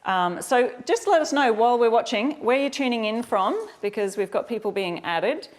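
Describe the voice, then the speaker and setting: A woman's voice: fairly high pitch, lively intonation, moderate pace. Chatty Australian woman hosting a craft livestream. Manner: chatty, informal, talks directly to viewers. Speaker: host of a live craft demonstration. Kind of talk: casual livestream tutorial chat.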